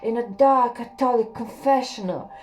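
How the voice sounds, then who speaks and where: A young woman reading a literary story aloud in a slow, hushed, measured way, with an occasional slip. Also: a little high. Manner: slow, hushed and measured, with a few slips and laughs. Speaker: audiobook reader.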